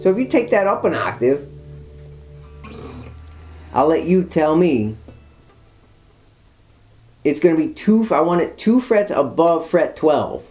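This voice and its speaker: A man's voice: a little high, sing-song, takes it slowly, pauses often, a little mellow. A man giving a guitar lesson, patiently walking students through chords step by step. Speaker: guitar teacher. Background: guitar playing.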